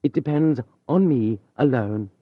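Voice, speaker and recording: A man's voice: mid-range voice, measured pace, no room sound, voice pushed up, lightly acted. A man reading an audiobook, voicing a proud, scheming young man who speaks with intensity, at times coldly controlled. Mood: rapturous. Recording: dull dry studio audio.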